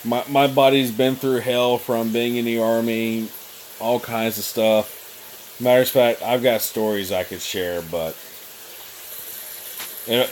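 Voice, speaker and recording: A man's voice: on the low side, a little hiss or hum, low-quality recording. A man in his thirties, hosting a casual live chat and telling stories with a joking, teasing manner. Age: 30s. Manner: joking, storytelling banter. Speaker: channel host.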